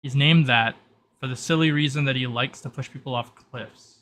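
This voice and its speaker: A man's voice: mid-range voice, fairly even intonation, normal speed, fairly bright tone. A man giving an improvised, matter-of-fact mission briefing in a tabletop role-playing game.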